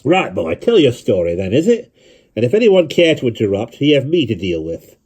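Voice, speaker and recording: Male narrator as burly Welsh innkeeper, lilting and firm, husky tone. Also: medium pitch, huge pitch swings, brisk, darkish tone, voice pushed up. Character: burly, fair-minded male village innkeeper.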